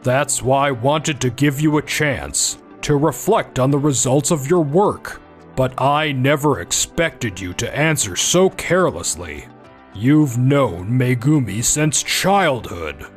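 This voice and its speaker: An actor playing a grave, middle-aged company president and father in a manga dub, formal and measured. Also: medium pitch, animated, soft background music. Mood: stern, disappointed.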